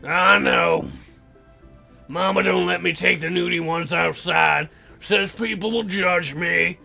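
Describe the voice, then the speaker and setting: Someone doing a low, sullen teenage boy. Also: fairly even intonation, takes it slowly, vocal fry, pushed and bright, faint music behind, exaggerated voice. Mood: sullen, dismissive. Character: sullen, self-important sixteen-year-old boy, dopey and world-weary.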